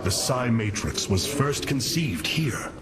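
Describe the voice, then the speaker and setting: An actor playing a noble, principled alien leader in a video game cutscene, speaking in a stately, formal way. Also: male voice, mid-range voice, big pitch swings, slow, heavy background noise. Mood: grave, explaining. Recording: loud game score behind.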